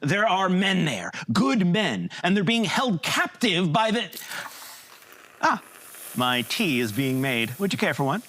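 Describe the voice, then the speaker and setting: An actor playing a pompous, excitable male starship captain in a live sci-fi comedy, speaking in a grandiose, theatrical manner. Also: medium pitch, unhurried. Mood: urgent, commanding. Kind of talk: live staged science-fiction audio comedy.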